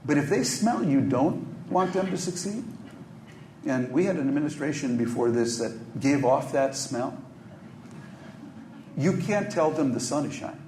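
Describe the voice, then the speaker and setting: Halting man speaking on a panel. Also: medium pitch, warm tone. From Minneapolis, USA. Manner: thoughtful, halting, many ums and restarts. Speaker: journalist, panelist. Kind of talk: conference panel remarks. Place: hotel conference room. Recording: echoey conference room, faint noise.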